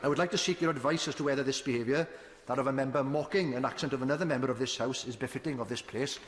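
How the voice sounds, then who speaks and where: Earnest Welsh man in an echoing chamber. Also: mid-range voice. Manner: earnest, measured, slightly hesitant at the start. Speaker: member of parliament raising a point of order. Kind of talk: formal parliamentary speech. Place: large debating chamber. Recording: echoey chamber with murmuring crowd.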